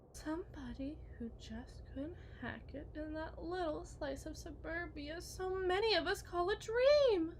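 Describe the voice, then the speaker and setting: A woman voicing a possessive, unhinged kidnapper wife in an ASMR roleplay monologue, theatrical and taunting, swinging between hushed and shrill. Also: slight background noise, heavily acted. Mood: bitter, pleading.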